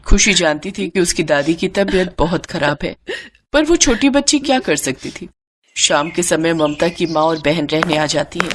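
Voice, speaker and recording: A woman narrating a scripted moral story in an expressive, dramatic way. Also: lowish voice, normal speed, a little hiss or hum.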